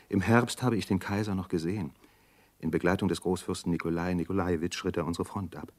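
An actor playing a weary army doctor, speaking an introspective, measured monologue in a German radio drama. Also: male voice, sits low, darkish tone. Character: weary, reflective army doctor recounting his war.

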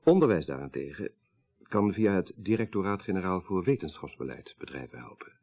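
An actor with a Netherlands Dutch accent playing an articulate, grieving professor in a radio crime drama, speaking precisely with dry irony. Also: male voice, sits low, very animated, fairly quick, a little mellow, full character voice. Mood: lecturing. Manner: precise, lecturing, dryly ironic. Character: grieving, articulate male professor, child of a murdered minister.

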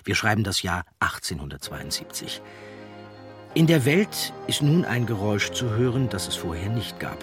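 A man's voice: on the low side, sing-song, moderate pace, over music. A man reading a scripted documentary narration as an audiobook narrator.

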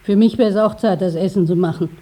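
A woman's voice: medium pitch, brisk, background noise, natural voice. An actress playing an anxious, chatty middle-aged mother with a plain, plaintive manner in a 1950s German radio play.

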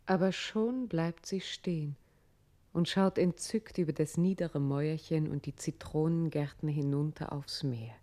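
Female radio play narrator: deep, warm timbre, literary scripted storytelling. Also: a little slow.

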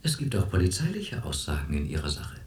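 Radio drama doctor: cool, probing, condescending, swinging intonation, recorded in a roomy echo. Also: male voice, a bass voice, huge pitch swings, brisk, a little mellow, heavily acted. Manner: probing, patronizing questioning. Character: cool, condescending male clinic doctor questioning a patient.

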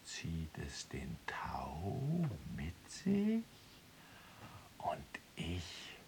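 An actor playing an obsessed, eccentric dreamer and inventor in a radio drama, theatrical, shifting between muttering and exclaiming. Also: male voice, very deep voice, huge pitch swings, very slow, gravelly, noisy background, heavily acted. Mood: insistent, intense. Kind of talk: German radio drama acting.

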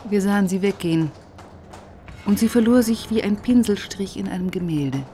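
An actress playing a composed, probing police detective who narrates and talks through the case in a measured, matter-of-fact way in a crime radio play. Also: female voice, medium pitch, warm tone, slight background noise, lightly acted. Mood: measured narration. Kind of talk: German crime radio play, dialogue and first-person narration.

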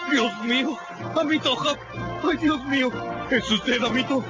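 A man's voice: very high voice, unhurried. An actor playing a fearful, devoted runaway man who speaks in pleading, breathless exclamations, in a radio drama. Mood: relieved. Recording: dull audio with music behind.

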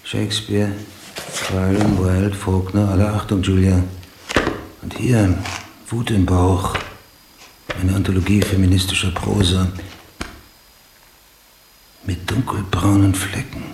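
Weary homicide sergeant for radio noir: terse, brooding, low and gravelly, noisy track. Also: male voice, exaggerated voice. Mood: brooding, observing. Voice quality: low, gravelly, often murmured. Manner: terse, brooding, muttering to himself. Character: weary, brooding male homicide detective sergeant in Los Angeles. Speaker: actor. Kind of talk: noir radio drama, clipped first-person monologue.

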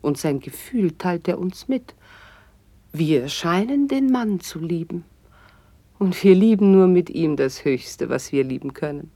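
A woman's voice: fairly low pitch, lively intonation, unhurried, dark tone, no room sound. An actress playing a graceful, witty noblewoman and confidante, declaiming formal verse lyrically in a classical radio drama. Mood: animated, frank.

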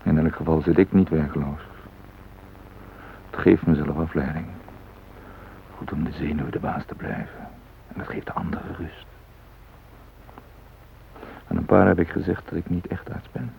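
An actor playing a self-possessed man posing as a doctor among hostages, musing in measured, clipped phrases in a radio drama. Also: rumbling low, steady tone, gravelly. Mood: musing, wry. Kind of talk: radio drama interior monologue. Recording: muffled phone-like old radio recording, hiss.

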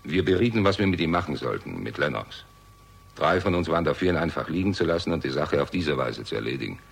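Gruff, low-voiced bitter war veteran. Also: male voice, animated, quick pace, heavily acted. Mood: grim, bitter. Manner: curt, sardonic. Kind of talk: German radio crime drama acting.

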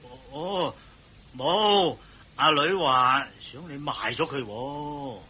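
A man's voice: medium pitch, slow pace, bright, forceful tone, husky, full character voice. A man playing a timid, evasive older father-in-law in a Cantonese radio drama, stammering and hemming. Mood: nervous, evasive.

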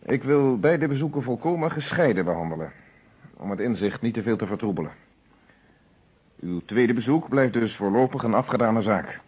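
An actor playing a stern, probing male police inspector in an old Dutch radio detective play, speaking formally and with measured authority. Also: medium pitch, lively intonation, a little mellow, breathy edge. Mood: formal, controlled. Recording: dull lo-fi old radio recording.

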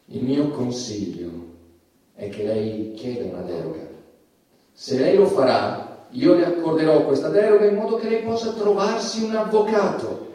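A man's voice: a little high, animated, mellow, lightly acted. A man acting a stern judge in an echoey hall. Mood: admonishing. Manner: formal, deliberate, admonishing. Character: stern, formal male judge presiding over obscenity trial. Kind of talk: theatrical courtroom stage acting in Italian. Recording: echoey theatre hall, distant microphone.

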